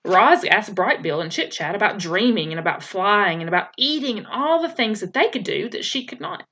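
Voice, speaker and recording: A woman's voice: mid-range voice, animated, normal speed, bright, forceful tone. A woman teacher reading a children's novel aloud, storytelling expressively with occasional slips and restarts.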